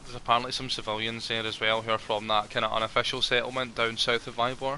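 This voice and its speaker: Chatty man, very bright tone. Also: medium pitch, flat delivery, quick pace, a little hiss or hum. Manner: friendly, rambling, with many ums and restarts. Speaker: roleplay player as a ranger. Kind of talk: in-character roleplay chat.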